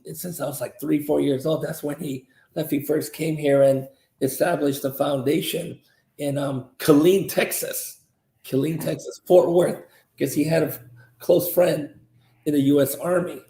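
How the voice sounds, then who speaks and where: A man's voice: on the high side, gravelly, mellow. A man in his fifties, originally from South Korea, a martial arts grandmaster answering interview questions in a rambling way with many fillers and restarts. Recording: roomy echo.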